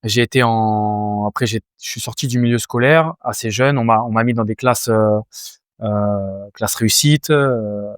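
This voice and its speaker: Young man, smooth voice, halting answers. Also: lowish voice, no room sound, studio quality. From France. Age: 20s. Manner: hesitant, many fillers and restarts. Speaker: Muay Thai fighter, guest. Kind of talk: casual interview answers.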